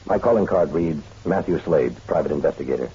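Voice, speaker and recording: An actor playing a suave, hard-boiled private detective in a radio drama, measured and courteous with a dry edge. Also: male voice, extremely low pitch, animated, mellow, husky, exaggerated voice. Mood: wry, ominous. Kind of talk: noir radio drama acting and narration. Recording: dull radio-drama recording, music behind.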